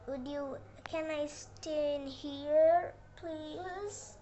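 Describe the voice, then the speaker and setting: A helpful storybook girl , played by a young girl speaks politely in a high voice at a very slow pace. Background noise is clear. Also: steady tone, warm tone. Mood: polite, earnest. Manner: polite, agreeable. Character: helpful, patient young girl looking for work. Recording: noisy room with background ambience.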